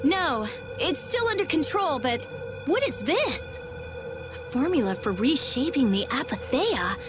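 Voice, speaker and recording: Bossy, high-pitched teenage girl mage. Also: sing-song, measured pace, over music. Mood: focused, explaining. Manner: sharp-tongued, emphatic. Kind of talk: anime game voice acting.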